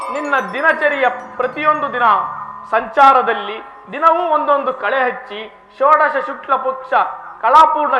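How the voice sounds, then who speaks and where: A man's voice: very high voice, lo-fi sound. A man playing a benevolent supreme god, speaking in formal, stately, authoritative mythological stage declamation. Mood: commanding, benevolent. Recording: roomy echo with faint music.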